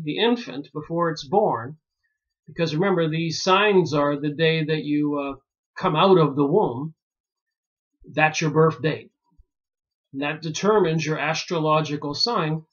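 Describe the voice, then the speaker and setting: A man from Pennsylvania musing aloud in a rambling solo monologue, pausing often and filling gaps with "uh". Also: on the high side. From Pennsylvania, United States. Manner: slow and musing, many pauses and fillers. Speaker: video commentator. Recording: dull lo-fi recording.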